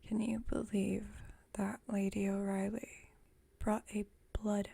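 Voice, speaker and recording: A woman plays a female vampire roommate in an intimate ASMR roleplay. Her voice is a soft, breathy whisper with a dark, mellow tone. She chats at a slow, unhurried pace with gentle wry exasperation. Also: mid-range voice, level tone, full character voice. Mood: wry, exasperated. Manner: unhurried, conversational, lightly wry. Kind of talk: ASMR roleplay, intimate one-sided chat.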